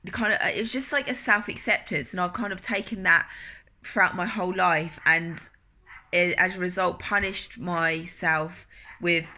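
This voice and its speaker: A woman's voice: fairly low pitch, gravelly, pushed and bright. Earnest woman with a cold. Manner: halting, earnest, many fillers and restarts. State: nasal from a cold. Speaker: wellness coach. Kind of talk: personal vlog reflection.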